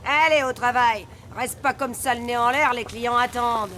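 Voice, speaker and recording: A woman's voice: fairly high pitch, fairly even intonation, normal speed, bright, forceful tone, a little hiss or hum. A woman voicing a mean, middle-aged café owner in a French cartoon, scolding and sneering with sarcasm. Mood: dismissive.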